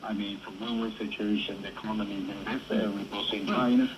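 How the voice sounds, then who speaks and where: A man with a fairly low voice offers political commentary in halting, non-native English. He is a professor on a panel, heard through clear shortwave static. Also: fairly even intonation. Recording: shortwave radio with heavy static. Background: shortwave radio noise.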